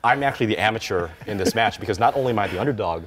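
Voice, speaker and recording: Brief: male tennis player, about thirty, very low voice, modest and reflective, light background hum. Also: expressive, rapid-fire, fairly bright tone. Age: 30s. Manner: thoughtful, self-deprecating. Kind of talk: post-match interview answers. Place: indoor tennis hall.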